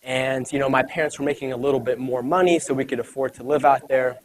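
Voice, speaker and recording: Young guy giving a motivational talk, chatty, lots of "you know"s, mid-pitched voice. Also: fairly even intonation, fast. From United States. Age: 20s. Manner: casual, conversational, many fillers and "you know". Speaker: speaker at an event. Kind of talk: motivational talk to a live audience.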